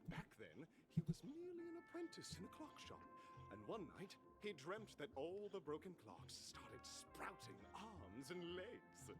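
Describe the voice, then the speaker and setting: An actor playing an exuberant, theatrical male game show announcer in a video game, with showy, drawn-out emphasis. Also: sits high, huge pitch swings, heavily acted, rough recording. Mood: grandiose storytelling. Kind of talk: bombastic game show hosting. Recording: loud music under the voice.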